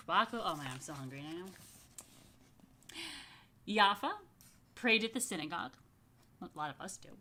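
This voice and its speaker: A woman reading a picture book to young families as a rabbi, stretching key phrases expressively and slipping into chatty asides. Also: medium pitch. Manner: expressive, slow emphatic storytelling with chatty murmured asides. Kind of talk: children's story read-aloud with casual asides.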